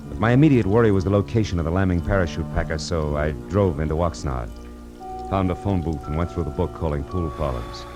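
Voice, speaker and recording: A low, hard-boiled detective voice in a 1940s radio play. He is cool-headed and wry, speaking in clipped slang. It is an old radio recording with music behind it. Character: tough, cool-headed male private detective narrating his case. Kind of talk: 1940s radio noir acting and narration.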